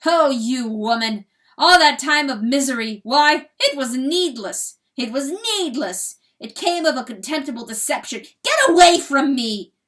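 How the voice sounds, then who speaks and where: A woman voicing a proud, cold male rooster who proclaims loudly and with contempt. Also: sits high, lively intonation, unhurried, pitched above the performer's own voice. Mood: raging proud.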